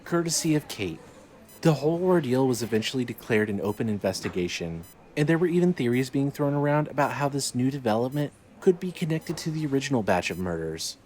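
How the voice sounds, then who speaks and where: A man's voice: mid-range voice, sing-song, a little fast, a little mellow. A man narrating scripted fiction in a dramatic storytelling style. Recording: light noise, ambience behind.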